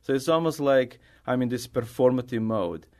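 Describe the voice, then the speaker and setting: A middle-aged man from Bosnia with a Bosnian accent, a native Bosnian speaker answering interview questions as an artist in halting, non-native English. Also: mid-range voice, a little mellow. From Bosnia, former Yugoslavia. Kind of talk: conversational interview answers, personal storytelling.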